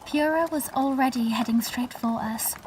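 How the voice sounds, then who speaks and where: A woman playing a gentle young princess who narrates her memories in a scripted audio drama, measured in delivery. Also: high voice, slight background noise, lightly acted, poor audio. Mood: wistful, reflective. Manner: measured, storytelling.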